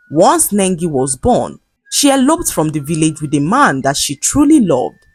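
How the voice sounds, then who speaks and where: A woman tells a folktale in a lowish voice that rises and falls expressively. Her tone is slightly husky, recorded close and dry over soft background music. The delivery is scripted, measured storybook narration. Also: no echo. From Nigeria.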